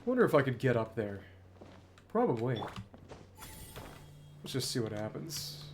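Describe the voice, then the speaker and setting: Game streamer over clear background noise. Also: medium pitch, very animated, unhurried. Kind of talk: live gameplay commentary, reading game text aloud.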